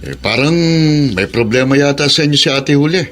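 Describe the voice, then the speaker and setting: A man's voice: mid-range voice, animated, a little fast, fairly bright tone, a little hiss or hum, close to an everyday voice. A man acting a flippant adult son in a Filipino radio drama, with a blunt, teasing manner.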